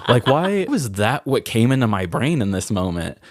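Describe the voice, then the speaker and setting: A man's voice: medium pitch, very animated, normal speed, no echo, studio quality. A man speaking as a podcast host in candid personal conversation, reflective and self-critical, rambling a little as he thinks aloud.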